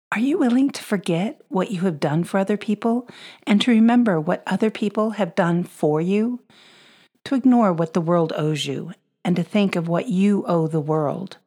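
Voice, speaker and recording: A woman's voice: fairly low pitch, dark tone. A woman giving a scripted reading of an inspirational essay as a guest reader.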